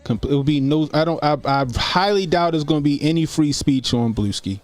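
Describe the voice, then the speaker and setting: A man's voice: fairly high pitch, a little fast, no room sound, faint music behind. A man in his forties doing casual livestream commentary as a YouTube host, talking informally and repeating phrases for emphasis. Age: 40s.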